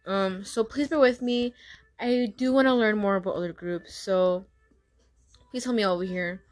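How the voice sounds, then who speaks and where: A young woman hosting a casual solo podcast, talking fast with frequent stutters and restarts. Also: medium pitch. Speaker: podcast host.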